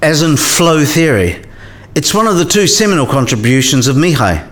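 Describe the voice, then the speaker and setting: A man playing a learned, teasing professor in a radio play, deadpan and lecturing. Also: a little high, normal speed. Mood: dry, explanatory. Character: teasing, dry-witted male professor, learned and smug. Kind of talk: acted two-person dialogue.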